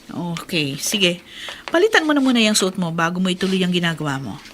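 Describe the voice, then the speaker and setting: A woman's voice: lowish voice, lively intonation, a little fast, fairly bright tone, a little hiss or hum. A woman playing a protective household mistress in a radio drama, with a firm, motherly, instructing manner.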